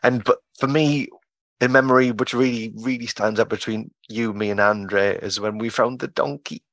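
A man's voice: mid-range voice, steady tone, normal speed, fairly bright tone, no echo. A man hosting a podcast, casually asking questions and prompting his guest. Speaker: podcast host. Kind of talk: casual interview conversation.

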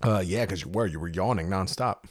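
A man co-hosting a podcast in casual chat, playful and teasing, quick to argue back. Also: deep voice, dry recording, studio quality. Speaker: podcast co-host.

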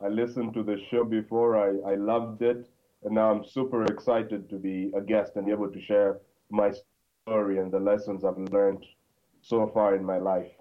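A man from Congo, a podcast guest, telling his story in a halting way with many fillers and restarts. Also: lowish voice, flat delivery. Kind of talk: conversational interview. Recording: tinny phone-like line.

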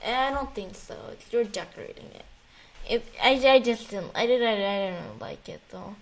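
A woman's voice: medium pitch, lively intonation, normal speed, gravelly, rough recording. A woman chatting casually about a video game as a co-host, in an informal, slangy, bantering way. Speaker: podcast co-host.

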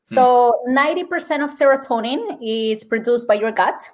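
A woman with a Colombian accent and a fairly high voice, speaking as a guest on an interview podcast. She explains health ideas earnestly and lays out her points one by one. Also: expressive, normal speed. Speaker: nurse and wellness speaker.

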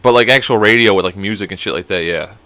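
A man's voice: lowish voice, fast talker, sharp tone, no room sound. A man co-hosting a podcast from home, joking and swearing a lot in casual chat. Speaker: podcast co-host.

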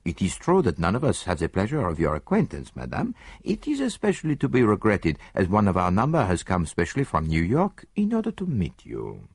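A precise, theatrical older detective, put on by a male audiobook reader. His tone stays mildly reproving and full of rhetorical questions, and the voice is gravelly, with a wildly lively pitch. The recording is dry and close. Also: brisk, hardly any pauses, dry recording, heavily acted. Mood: suave. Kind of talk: audiobook character voice.

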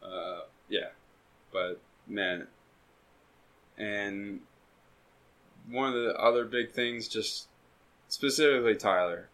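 Laid-back low-voiced young man. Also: slow, long pauses. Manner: relaxed, rambling, self-deprecating. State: recovering from being sick. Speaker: podcast co-host. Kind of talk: casual chat.

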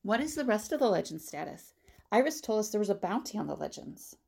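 A woman's voice: medium pitch, very animated, fast, vocal fry, mellow. A woman playing a caring, level-headed woman in an audio drama. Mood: earnest.

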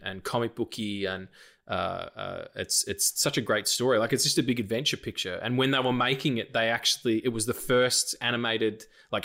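A man co-hosting a film podcast, chatting casually, excitable and jokey, swearing freely. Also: mid-range voice. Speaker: podcast co-host. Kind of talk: casual chat.

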